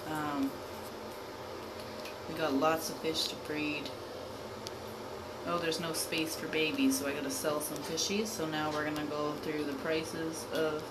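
A woman with a low voice talks loosely through her gameplay, pausing often on ums and uhs. The recording is roomy and echoey, with heavy noise underneath her voice. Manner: relaxed, lots of ums and uhs. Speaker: gameplay narrator. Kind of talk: casual game commentary. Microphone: voice-over on screen recording. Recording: echoey room with loud background noise.

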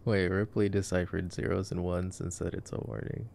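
Young male, deep and heavily creaky, for sarcastic ASMR boyfriend movie commentary; slangy, understated. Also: little pitch movement, moderate pace, a little mellow, slight background noise. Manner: sarcastic, slangy, low-key. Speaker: ASMR roleplay performer.

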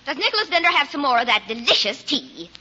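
An actress playing a nagging, sharp-tongued, talkative wife in 1940s radio style. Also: female voice, sits high, big pitch swings, fast, heavily acted. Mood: nagging, bossy. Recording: muffled, noisy vintage radio recording.

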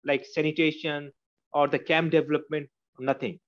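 A middle-aged man answers questions earnestly, circling back over his words as he explains. His voice sits a little higher than most men's. The sound comes through a dull, lo-fi video call line. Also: flat delivery, normal speed. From Bangladesh. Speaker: advocate, guest speaker. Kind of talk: answering questions in an online talk. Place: small room indoors. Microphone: earphones on a video call.

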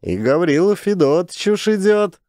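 A man voicing a jovial older mine manager who teases his friend in high-pitched, chuckling banter, in an audiobook. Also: sing-song, normal speed, warm tone, dry recording, clean studio sound. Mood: teasing. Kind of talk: audiobook character voice.

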